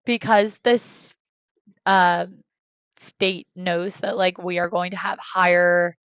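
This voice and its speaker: A woman's voice: on the low side, fairly even intonation, takes it slowly, clear, resonant tone, dry recording, low-quality recording. An American woman in her thirties, chatting casually while she streams a life-sim game from home, rambling with many fillers. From United States. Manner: rambling, hesitant, many fillers, talks to her kids between points. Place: home room.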